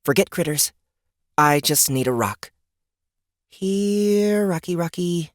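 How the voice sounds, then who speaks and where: A man's voice: on the high side, lively intonation, slow pace, no echo, studio quality, pitched above the performer's own voice. A man voicing a frustrated, determined, sarcastic young woman gamer in a fantasy audiobook. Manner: grunting, exasperated, self-talking. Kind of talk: fantasy audiobook character acting.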